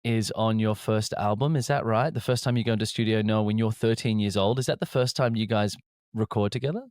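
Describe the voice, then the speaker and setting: A man's voice: on the low side, a little fast, darkish tone, dry recording, clean studio sound. A man interviewing two musicians in a casual, rambling, enthusiastic way.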